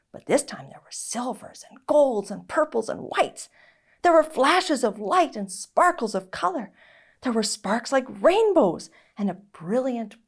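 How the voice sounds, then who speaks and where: A woman reading a children's story aloud in an expressive, dramatic narrating style. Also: fairly high pitch, gravelly. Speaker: story reader.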